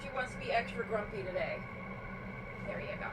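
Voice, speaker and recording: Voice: medium pitch, a little fast, heavy background noise. A gamer chatting casually while playing, with a blunt, sweary way of talking. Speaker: gamer, co-player. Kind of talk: casual co-op gameplay chat.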